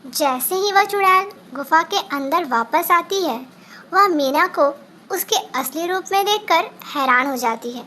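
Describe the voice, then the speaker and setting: A woman's voice: extremely high pitch, expressive, a little slow, sharp tone, a little hiss or hum. A woman reading a scripted fairy tale for children as a storyteller.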